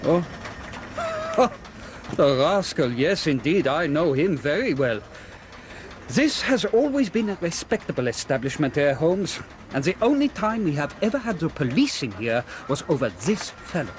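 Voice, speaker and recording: An actor playing a courteous but exasperated German factory owner in a radio drama, with a formal, precise, emphatic manner and a German accent. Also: male voice, a little high, big pitch swings, moderate pace, slight background noise. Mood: indignant.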